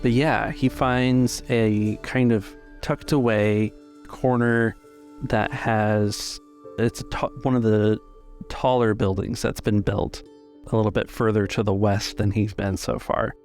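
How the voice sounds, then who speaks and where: A man narrating a tabletop role-playing game as game master, describing scenes haltingly in the present tense. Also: on the low side, steady tone, darkish tone, faint music behind.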